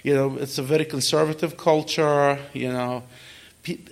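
Gravelly middle-aged man telling his story. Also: medium pitch, fairly even intonation, normal speed. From Kuwait. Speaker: guest speaker answering audience questions. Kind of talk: conversational personal storytelling.

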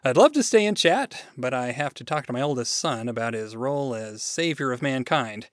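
A man's voice: mid-range voice, lively intonation, normal speed, dry recording, studio quality, lightly acted. A man voicing a mighty, boastful and theatrical God, easily wounded, in a storybook reading. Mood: brisk, commanding. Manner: grandiose, showman-like. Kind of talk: short story read aloud.

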